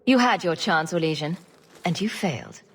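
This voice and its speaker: An actress playing a self-assured, sarcastic champion in a video game, with dry, deadpan delivery. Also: female voice, fairly low pitch, normal speed, breathy edge. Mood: defiant, wry. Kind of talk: video game cutscene acting.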